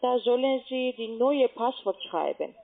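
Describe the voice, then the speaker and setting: A woman with a foreign accent, not a native German speaker, giving formal, halting instructions as a call-centre agent. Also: on the high side, animated, gravelly. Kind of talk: phone instructions. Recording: muffled, tinny phone line.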